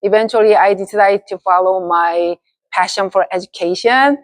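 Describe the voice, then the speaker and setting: Woman teacher from South Korea, mid pitch, dry close mic, steady answers. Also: normal speed, no echo. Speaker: math teacher. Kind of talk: short interview answers.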